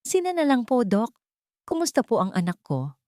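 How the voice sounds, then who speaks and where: A woman's voice: on the high side, big pitch swings, normal speed, darkish tone, no echo, studio quality, pitched above the performer's own voice. A woman voicing a frightened young mother of four in a storybook reading, her lines pleading and anxious. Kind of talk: scripted narration.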